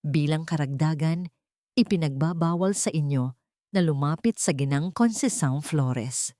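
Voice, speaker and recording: A woman voicing a firm judge in an audio story, with a steady, formal, authoritative delivery. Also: low voice, normal speed, no room sound, studio quality, close to an everyday voice. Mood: stern, firm.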